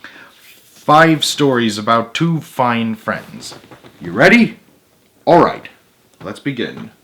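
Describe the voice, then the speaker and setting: A man hosts a storybook read-aloud at an unhurried pace. His pitch moves up and down a lot, and he breaks off for casual comments of his own. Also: big pitch swings, fairly bright tone. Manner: chatty, interjects own comments and chuckles. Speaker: story reader, host of a read-aloud series. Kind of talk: picture-book read-aloud with casual asides.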